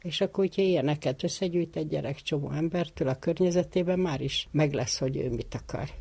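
An older woman psychotherapist with a husky voice, explaining things conversationally in an interview. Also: sits low, dark tone.

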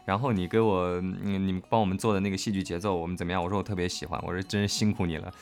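A man's voice: low voice, little pitch movement, racing. A man co-hosting a casual livestream chat, hesitating and often repeating words. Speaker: livestream co-host and audio drama cast member.

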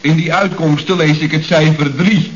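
Casting: male actor as literal-minded, plain-spoken young nephew for radio comedy, higher male voice, dulled sound. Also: steady tone, normal speed, natural voice. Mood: puzzled, insistent. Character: matter-of-fact young nephew, naively blunt. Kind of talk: radio comedy serial acting. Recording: muffled old radio recording.

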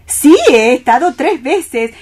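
High-pitched, grandiose opera diva. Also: female voice, lively intonation, slow, a little mellow, husky, full character voice. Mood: excited curiosity. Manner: grandiose, theatrical, emphatic. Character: flamboyant, self-important female international opera diva. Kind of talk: radio play acting.

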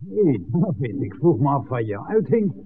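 Guarded café boss, thin radio sound. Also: male voice, fairly high pitch, sing-song, moderate pace. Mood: concerned, brisk. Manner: curt, guarded, authoritative. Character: village café owner, guarded boss of a secret operation. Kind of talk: 1960s Dutch radio drama acting. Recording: thin narrowband vintage radio, faint noise.

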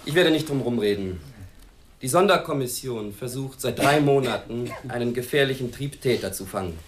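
An actor playing an impatient police chief in a radio drama, curt, sardonic and commanding. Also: male voice, mid-range voice, animated, normal speed, lightly acted. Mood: stern, frustrated. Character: impatient, authoritative police chief briefing his squad. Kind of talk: German radio drama acting.

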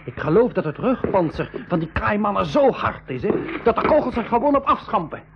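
A man with a Flemish accent playing a lively, cheeky young boy who acts and narrates in a radio play. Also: high voice, fairly quick, a crowd in the background. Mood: excited, amused. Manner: animated, exclamatory. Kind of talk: radio play acting and storytelling. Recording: muffled 1980s school radio recording.

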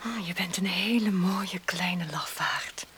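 An actress playing a seductive, scornful unfaithful woman in a radio drama, breathy and often whispering, coaxing and taunting. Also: fairly low pitch, slow. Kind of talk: Dutch radio drama acting. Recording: hissy radio drama recording.

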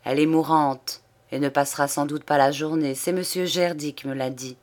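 Audiobook role: pensive, courteous examining judge, male, formal and measured played by a female reader. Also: low voice, fairly even intonation, darkish tone, pitched below the performer's own voice, natural voice. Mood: grave. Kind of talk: audiobook character dialogue.

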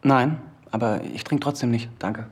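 An actor playing an evasive, uneasy but polite drifter in a naturalistic radio drama, husky and hesitant. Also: male voice, mid-range voice, moderate pace, natural voice. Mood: guarded. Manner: hesitant, noncommittal.

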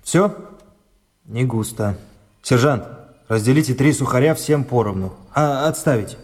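Actor as a curt, composed army captain. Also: male voice, mid-range voice, measured pace, darkish tone, natural voice. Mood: commanding. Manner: authoritative, curt, dry. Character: stern, composed Soviet army captain and military judge. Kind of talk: radio play acting.

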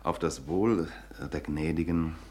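Deep-voiced courteous gentleman guest. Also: unhurried. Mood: polite, genial. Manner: genteel, hesitant, flattering. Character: courteous gentleman guest, old acquaintance of the wife. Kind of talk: German radio play acting.

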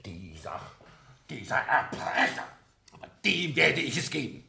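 An actor playing an irascible, commanding police prefect in a German radio play, barking orders in a gravelly voice. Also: male voice, medium pitch, sing-song, unhurried, full character voice. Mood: outraged. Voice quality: gravelly, sharp.

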